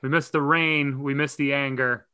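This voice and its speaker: A man from the Boston area co-hosting a podcast, chatty and quick to laugh. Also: medium pitch, level tone, pushed and bright, smooth. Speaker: podcast co-host. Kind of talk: casual podcast interview.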